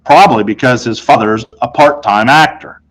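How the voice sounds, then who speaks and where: A man reading a children's novel aloud in an expressive, conversational way, telling it as a boy in the first person. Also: medium pitch, moderate pace, low-quality recording. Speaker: storybook reader.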